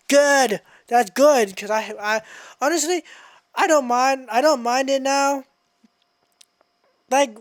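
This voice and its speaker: A young adult woman hosting a podcast in a casual, slangy, sweary freestyle ramble, scattered and excitable, close on the microphone. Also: high-pitched, dry recording. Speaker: podcast host. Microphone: close microphone through a mixer.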